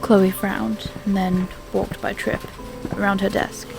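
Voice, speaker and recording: Very creaky female story narrator. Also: medium pitch, level tone, takes it slowly, loud music behind the voice, low-quality recording.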